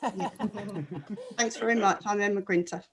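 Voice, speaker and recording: A middle-aged woman performs stand-up, poking fun at herself in a wry, conversational way. Her voice sits at an ordinary pitch. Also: steady tone, normal speed, a crowd in the background. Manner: self-deprecating wry delivery. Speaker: stand-up comedian. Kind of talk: stand-up set.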